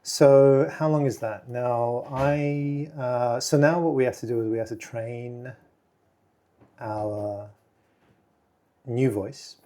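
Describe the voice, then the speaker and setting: A man casually walking through software on his screen, thinking aloud with halting fillers and restarts. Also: medium pitch, steady tone. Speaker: video creator testing software. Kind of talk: casual screen-recording walkthrough.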